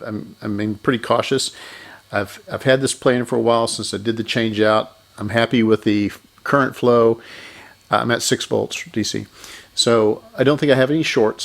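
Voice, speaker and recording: Low, gravelly man. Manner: methodical, thinking aloud with self-corrections. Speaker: electronics repair host. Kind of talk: unscripted hands-on repair commentary.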